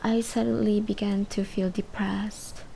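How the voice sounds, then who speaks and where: A woman playing a withdrawn, depressed patient in her twenties in an amateur radio drama, speaking haltingly. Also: mid-range voice, level tone, vocal fry, mellow, slight background noise, rough recording. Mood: hesitant, downcast. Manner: hesitant, halting, breaking into whispers. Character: withdrawn, depressed twenty-three-year-old patient confessing painfully. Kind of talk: amateur radio drama acting, confessional monologue.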